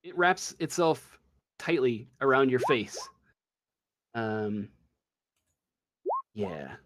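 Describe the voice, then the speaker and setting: A man working as game master, improvising a tabletop role-playing story in a halting, drawn-out way. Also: medium pitch, lively intonation, fairly bright tone.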